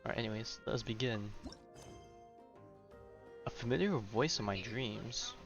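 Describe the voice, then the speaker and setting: A man's voice: lowish voice, expressive, a little slow, highs cut off, over music. A young man chatting casually as he streams a game, rambling and often interrupting himself. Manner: rambling, self-interrupting, informal. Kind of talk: casual livestream chat over gameplay.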